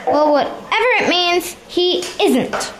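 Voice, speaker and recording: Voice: extremely high pitch, animated, slow pace, noisy background, heavily acted. A performer voicing an inquisitive, blunt nine-year-old farm girl with a childlike, questioning manner in a radio play. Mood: curious.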